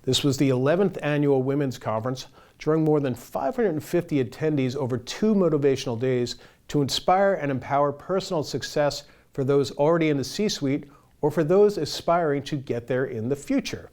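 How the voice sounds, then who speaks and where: A man's voice: a little high, moderate pace, a little mellow. A man hosting an interview show, speaking conversationally as he questions a guest and links segments. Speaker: talk show host.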